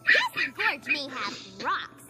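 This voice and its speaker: A woman voicing a bubbly, hyperactive young female pink pony in a cartoon, with squeaky, breathless rapid-fire chatter. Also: huge pitch swings, exaggerated voice. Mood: eager. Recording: clip from a show with loud music.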